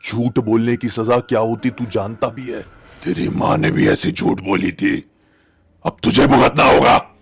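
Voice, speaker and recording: A man's voice: mid-range voice, a little slow, husky. A man voicing an angry, accusing skeletal ghoul in a horror story, harsh and threatening. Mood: menacing.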